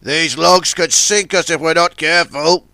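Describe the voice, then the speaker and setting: A man's voice: a little high, moderate pace, creaky, sharp tone, dry recording. A man voicing a big, wise, worried male tugboat, urgent and commanding. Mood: cautioning.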